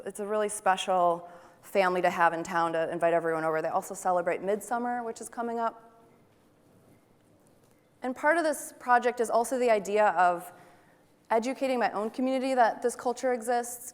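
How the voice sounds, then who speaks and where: Chatty woman speaking in a large hall. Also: mid-range voice. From Wisconsin, United States. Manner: chatty, enthusiastic, asks the audience questions. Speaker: photographer giving a talk. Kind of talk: conference presentation to an audience. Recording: long hall reverb.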